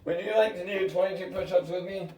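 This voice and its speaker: A man from San Diego with a rough, worn voice, rambling through a half-sung freestyle and chatty, swearing talk at home. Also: high-pitched, steady tone, fast talker, darkish tone, echoey room, slight background noise. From San Diego, California. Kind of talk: improvised singing and casual vlog talk.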